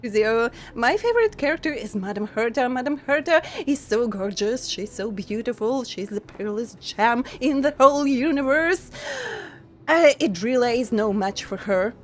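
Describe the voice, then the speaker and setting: A young woman streaming a game and chatting casually with her viewers, giggling often and pausing to restart her sentences. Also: a little high, expressive, a little hiss or hum. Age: young adult. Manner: giggly, halting with many pauses and restarts.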